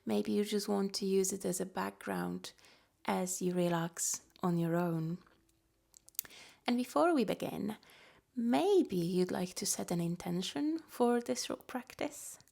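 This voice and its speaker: Woman yoga nidra teacher, soft gentle talk, really slow, kinda creaky, mid pitch. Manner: soft-spoken, gentle, unhurried invitations. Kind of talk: guided relaxation meditation.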